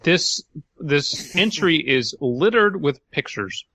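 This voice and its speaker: A man's voice: on the high side, animated, slow pace, sharp tone, no room sound. A man hosting a podcast, chatting casually and reading a story aloud with dry, skeptical asides. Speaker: podcast host.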